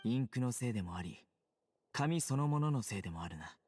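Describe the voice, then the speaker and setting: Man as a brisk, serious investigator. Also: mid-range voice, animated, a little mellow, a little hiss or hum, natural voice. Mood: analytical. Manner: measured, matter-of-fact, analytical. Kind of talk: Japanese game voice acting.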